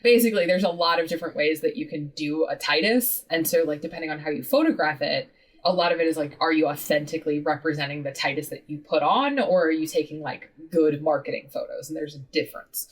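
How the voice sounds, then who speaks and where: A woman in her thirties, a podcast guest talking in a lively, casual way, full of "like" and casual swearing. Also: deep voice, quick pace, far from the mic. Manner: animated, heavy use of "like", swears casually. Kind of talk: casual interview chat.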